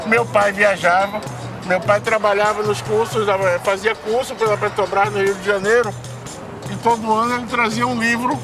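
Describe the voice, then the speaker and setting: A man's voice: high-pitched, steady tone, normal speed, over music, crowd noise. A man in his fifties from Salvador, Brazil, telling his life story plainly in a documentary interview, with casual swearing. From Salvador, Bahia, Brazil. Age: 50s. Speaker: music producer.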